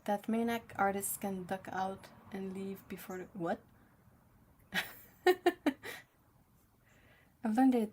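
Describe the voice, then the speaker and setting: A young French Canadian woman hosts a relaxed painting livestream and chats with her viewers as she works. Her voice is soft and slightly breathy and sits at an ordinary middle pitch. She reads questions from the chat, trips over her words and laughs easily. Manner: chatty, reads chat aloud, laughs often, self-interrupting. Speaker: livestream host painting and chatting.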